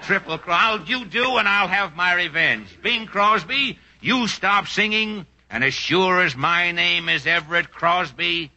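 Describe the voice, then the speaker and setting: An actor playing a grumbling, blustering, gluttonous brother in a 1940s radio comedy, on a noisy old recording. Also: male voice, high voice, lively intonation, unhurried, few pauses, pushed and bright, full character voice. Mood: outraged.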